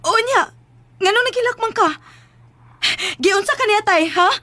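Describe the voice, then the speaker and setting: A woman's voice: very high-pitched, expressive, moderate pace, bright, forceful tone, soft background music, heavily acted. A woman with a Cebuano accent playing an emotional, protective young daughter in a radio drama, plaintive and insistent. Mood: worried. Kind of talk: Philippine radio drama acting.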